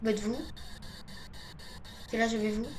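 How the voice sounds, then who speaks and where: Higher-pitched woman muttering through gameplay. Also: level tone, a little slow, darkish tone. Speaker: video game streamer. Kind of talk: casual gameplay commentary, thinking aloud. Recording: clear background noise, game ambience.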